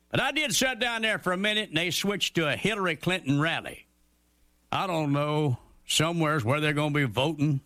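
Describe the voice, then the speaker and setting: Brief: an indignant everyman for radio comedy, bright, forceful tone, slightly high, dry booth sound. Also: dry recording. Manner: ranting, folksy, indignant. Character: grumbling down-home everyman man.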